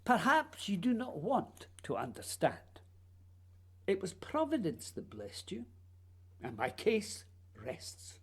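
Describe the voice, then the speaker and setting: A man's voice: high voice, huge pitch swings, slow pace, slightly rough, heavily acted. A man playing a whimsical, childlike playwright in a radio play, musing and teasing in a theatrical way. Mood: earnest, teasing. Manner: theatrical, musing, playfully urging.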